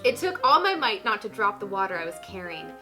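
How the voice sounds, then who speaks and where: A woman's voice: medium pitch, big pitch swings, quick pace, over music. A young woman giving a dramatic reading with casual commentary, in a playful, giggly manner. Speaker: host.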